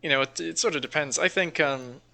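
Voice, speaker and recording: A man's voice: a little high, a little fast, vocal fry, sharp tone. A man co-hosting a podcast, casually bantering and reading out odd news stories in an informal, sweary way. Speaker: podcast co-host.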